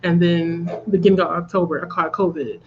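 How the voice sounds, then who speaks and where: A woman's voice: on the low side, fairly even intonation, brisk, lo-fi sound, slight background noise. Congested woman chatting on a livestream. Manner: chatty, laughs at her own jokes. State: congested from a cold. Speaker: livestream host of a reading sprint. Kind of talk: casual chat. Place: at home.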